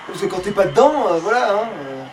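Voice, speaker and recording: A man's voice: high voice, big pitch swings, fairly quick. Sweary gamer man, distant and noisy. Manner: sweary, grumbling at the game. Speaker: let's play gamer. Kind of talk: casual game commentary. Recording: noisy room, distant microphone.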